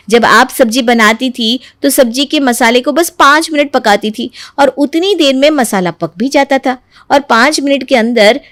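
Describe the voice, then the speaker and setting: Woman acting a very high, sugary young daughter-in-law. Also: no echo, clean studio sound. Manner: sing-song mock innocence, eager and insistent. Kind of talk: animated moral story voice acting.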